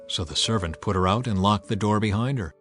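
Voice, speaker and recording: A man reading scripture as an audiobook narrator, in a measured, expressive, dramatized storytelling style. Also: on the low side, faint music behind.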